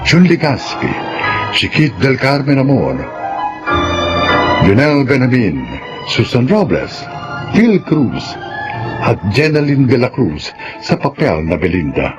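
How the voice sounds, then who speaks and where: A Filipino man announcing a scripted radio drama introduction and credits in a measured, dramatic way. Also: mid-range voice, wildly swooping pitch, rough recording. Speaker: announcer and host. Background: loud music.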